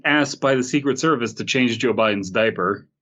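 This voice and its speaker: A man's voice: mid-range voice, moderate pace, sharp tone, lo-fi sound. A man hosting a podcast, chatting casually in a rambling, joking way. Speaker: podcast host. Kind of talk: casual comedic chat.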